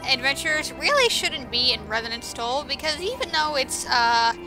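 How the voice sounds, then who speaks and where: A woman's voice: sits high, big pitch swings, moderate pace. A woman giving casual video game commentary as host, with sarcastic asides, over music. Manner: sarcastic, mildly profane asides.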